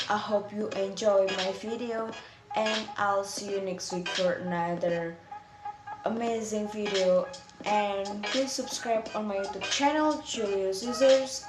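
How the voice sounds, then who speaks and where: A woman from Indonesia, speaking English as an as she presents a school video, halting and searching for words in simple phrasing. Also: medium pitch. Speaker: student. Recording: roomy echo with music behind.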